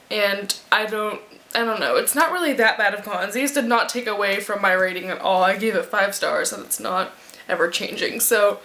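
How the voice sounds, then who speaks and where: Chatty woman vlogger, slightly high voice, rambling book-review talk to camera at home. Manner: chatty, rambling, animated asides. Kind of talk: casual vlog review. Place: at home in front of a fan. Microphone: camera mic.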